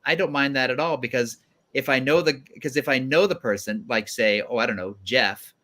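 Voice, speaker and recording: A man's voice: mid-range voice, normal speed, sharp tone. A man hosting a casual livestream panel conversation among several guests. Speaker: guest host of a livestream show.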